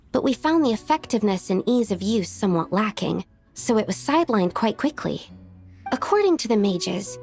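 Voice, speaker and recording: A woman's voice: mid-range voice, vocal fry, darkish tone. A woman voicing a meticulous young female alchemist in a video game, explaining things earnestly. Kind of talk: video game character dialogue.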